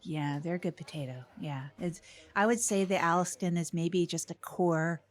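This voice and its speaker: A woman's voice: lowish voice, moderate pace, close to an everyday voice. A woman playing an easygoing, reassuring community radio co-host in an improvised comedy, chatting in hesitant, repeating phrases. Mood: chatty, easygoing.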